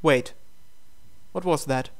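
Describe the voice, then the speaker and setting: A male game host reads story text aloud. His voice is on the high side and very clean. He narrates in a measured, dramatic storytelling style.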